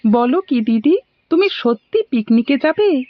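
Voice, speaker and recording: Woman as an eager, high-voiced cartoon schoolboy. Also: animated, unhurried, no echo. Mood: eager, explaining. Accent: Bangladeshi. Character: cheerful, eager schoolboy, a helpful little brother. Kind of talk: animated cartoon dialogue. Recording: dull lo-fi audio.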